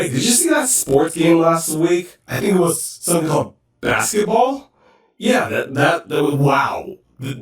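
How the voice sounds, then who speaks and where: A man's voice: mid-range voice, lightly acted. A man playing an easygoing cyborg soldier in an audio drama, boastful, earnest and prone to rambling. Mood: chatty, rambling. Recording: very echoey, distant-sounding room.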